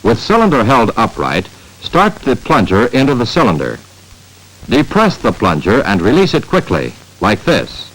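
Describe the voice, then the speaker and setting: An American man reads scripted instructions as the narrator of a 1950s training film. His mid-range voice moves through lively rises and falls while staying measured and emphatic. The sound is that of a vintage film soundtrack. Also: mid-range voice, poor audio.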